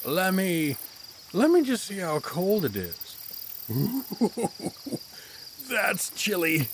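A man acts out an oafish, tipsy dad in a comic fantasy audio drama. He rambles goofily at a very slow pace with swooping intonation, over faint noise. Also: high voice, very animated, heavily acted. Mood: shivery, hesitant. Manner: rambling, goofy. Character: oafish, tipsy, rambling middle-aged dad, cheerfully oblivious.

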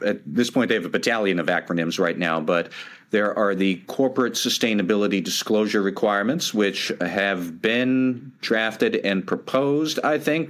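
Low-voiced man explaining, slightly wry. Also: fairly bright tone. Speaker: podcast co-host. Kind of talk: conversational explanation. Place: at a conference.

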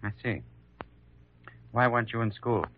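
An actor with an American accent playing a brisk, skeptical insurance investigator in an old-time radio drama, clipped and businesslike. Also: male voice, mid-range voice, a little hiss or hum, close to an everyday voice. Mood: probing, doubtful. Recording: muffled vintage radio recording.

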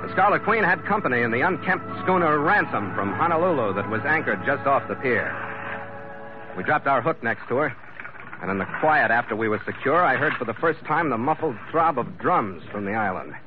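A calm, laconic sea captain, voiced by a man, tells of his voyage in clipped, matter-of-fact phrases. The voice sits mid-range, heard through a dull, muffled old radio broadcast with music underneath. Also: mid-range voice, a little fast, close to an everyday voice. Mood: narrating. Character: steady, dry-humored male sea captain. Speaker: ship captain and narrator. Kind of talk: 1950s radio drama narration.

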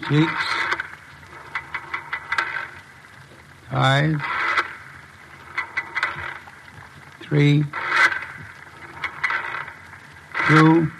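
A ponderous, commanding detective from a vintage radio mystery. His voice is fairly high for a man and full of creak. He speaks formally and deliberately, like someone used to giving orders. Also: background noise, heavily acted. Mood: deliberate, brisk. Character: imperious, shrewd, ponderous gentleman detective. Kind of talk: old-time radio drama acting.

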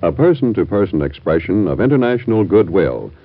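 A man's voice: low voice, very animated, brisk. A man with an American accent reading a scripted announcement in mid-century radio style. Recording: muffled vintage radio broadcast, faint music.

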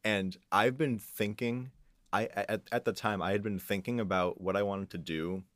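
A man's voice: on the low side, fairly even intonation, normal speed, a bit bright, no echo, clean studio sound. A man speaking as a guest composer in a casual interview, hesitant and restarting as he thinks aloud. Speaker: guest composer and audio engineer. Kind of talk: casual behind-the-scenes interview talk.

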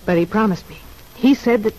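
A woman's voice: mid-range voice, a little fast, dark tone, lightly acted, poor audio. An actress as a young woman convict in a vintage radio serial. She is curt and defensive, a restless schemer who snaps easily. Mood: desperate. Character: irritable, scheming young woman prisoner, desperate for parole. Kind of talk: old-time radio drama acting.

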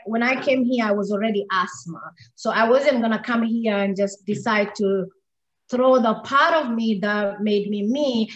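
A woman's voice: a little high, fairly bright tone. A woman from Kenya speaking as an interview guest, impassioned and emphatic, repeating phrases for weight. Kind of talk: earnest conversational interview. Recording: echoey room.

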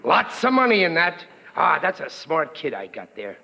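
A man's voice: a little high, wildly swooping pitch, normal speed, gravelly, bright, forceful tone, heavily acted. An actor playing a blustering, doting working-class New York father, middle-aged and ungrammatical, in 1940s radio comedy style. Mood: complacent, proud. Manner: folksy, ungrammatical, blustery scolding and reassuring. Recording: muffled vintage radio recording in hall.

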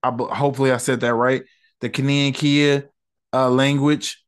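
A man hosting a solo podcast, reviewing an episode in a relaxed, chatty way with slang and lots of fillers. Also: mid-range voice, normal speed, clear, resonant tone.

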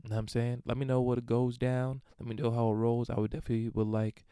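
A man talks alone into a dry, close recording, his voice smooth and warm. He rambles through listener emails with crude, irreverent jokes and lots of fillers, in an unhurried host's monologue. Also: medium pitch, monotone, no room sound. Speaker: podcast host answering listener emails. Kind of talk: casual solo monologue.

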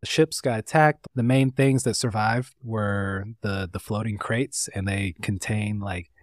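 A man from North Carolina, a guest artist, talking in a relaxed, rambling way with lots of fillers. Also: on the low side, a little slow, no room sound, clean studio sound. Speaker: interview guest, artist and tattooist. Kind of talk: casual conversation.

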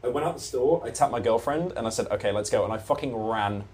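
A man's voice: mid-range voice, fast talker, darkish tone. A man in his twenties, a podcast guest chatting casually in a rambling, laid-back way, with a lot of swearing. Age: 20s. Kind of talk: casual chat.

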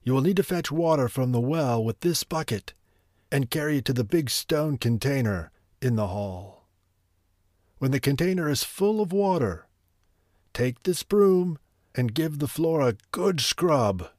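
A man's voice: medium pitch, expressive, unhurried, a little mellow, no room sound, voice pushed up, close to an everyday voice. Man voicing a stern old sorcerer, commanding, raised voice, dry recording.

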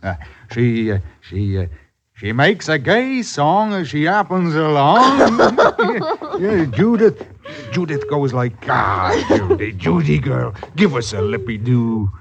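An actor playing an amorous, fumbling country husband in a hammy old-time radio drama. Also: male voice, fairly high pitch, big pitch swings, slow pace, noisy background, full character voice. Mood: playful, coaxing. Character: amorous, wheedling English country husband chasing his maid. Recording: muffled vintage radio broadcast.

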